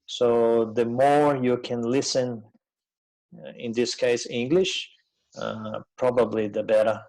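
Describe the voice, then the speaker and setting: A middle-aged man from Brazil, a native Portuguese speaker with a Brazilian accent, gives an informal webinar presentation in a hesitant, conversational way with frequent pauses. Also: medium pitch, little pitch movement, a little mellow, poor audio. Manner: hesitant, conversational, pausing often.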